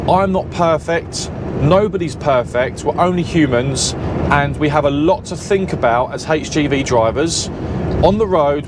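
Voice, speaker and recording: A man with a Southern English accent, a lorry driver chatting casually and rambling a little as he drives, often correcting himself mid-sentence. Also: mid-range voice. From Southern England. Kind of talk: casual vlog chat while driving. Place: lorry cab on the road. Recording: loud engine and road noise. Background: lorry engine and road noise.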